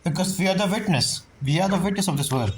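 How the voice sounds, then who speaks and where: A woman's voice: lowish voice, lively intonation, quick pace, darkish tone, slight background noise. A woman giving casual reaction commentary as a gamer, musing aloud and repeating phrases. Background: game music.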